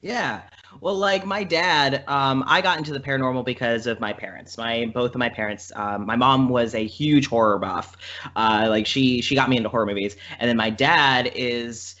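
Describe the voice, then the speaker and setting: Bright-voiced man chatting, slightly dull audio. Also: mid-range voice, moderate pace. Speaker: podcast guest. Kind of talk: casual podcast conversation.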